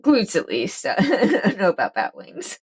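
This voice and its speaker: A woman's voice: medium pitch, huge pitch swings, unhurried, slightly rough. A woman tabletop game player chatting casually with frequent giggles. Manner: giggly and bright.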